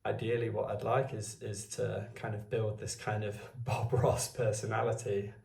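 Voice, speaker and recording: Guy in his 20s, gravelly low voice, just rambling thoughts to his phone, roomy echo. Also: level tone, normal speed, darkish tone, recorded from a distance. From Wales. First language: Welsh. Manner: meandering, thinking aloud. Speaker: vlogger. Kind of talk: unscripted musing to camera.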